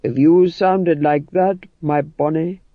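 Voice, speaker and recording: A man's voice: on the high side, steady tone, slow pace, no echo. A man reading an audiobook, voicing a jovial, theatrical actor-manager. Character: hearty booming actor-manager, cheerful and commanding. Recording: dull band-limited audio.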